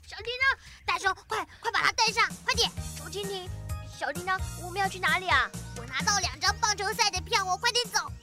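A very high, childlike cartoon voice, full of excitement. It belongs to an educational radio drama for children on old cassette, with loud children's music playing behind. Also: a little fast. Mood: excited.

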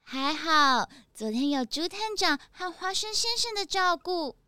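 Very high, dainty young lady. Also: lively intonation, a little slow, bright, forceful tone, dry recording, clean studio sound, exaggerated voice. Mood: sweet, demure. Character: dainty, sweet young woman, evasive suspect. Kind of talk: children's detective audio drama.

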